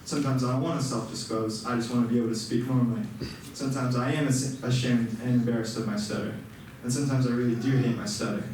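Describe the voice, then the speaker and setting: A man's voice: mid-range voice, steady tone, fast, a little mellow, a little hiss or hum. A young man who stutters, giving an earnest personal speech to an audience, with repeated sounds and restarts. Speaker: student speaker. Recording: echoey hall, mic far off.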